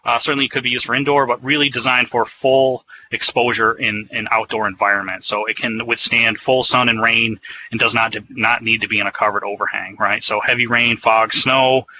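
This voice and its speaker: A man's voice: on the low side, bright, forceful tone, dull audio, a little hiss or hum. A man giving an explanatory, conversational product presentation in a semi-scripted webinar style.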